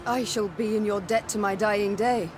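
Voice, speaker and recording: A woman's voice: medium pitch, fairly even intonation, normal speed, music in the background, natural voice. Actress as a proud, defiant middle-aged warrior queen. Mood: resolute. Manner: formal, regal, cutting. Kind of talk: dramatic video game voice acting.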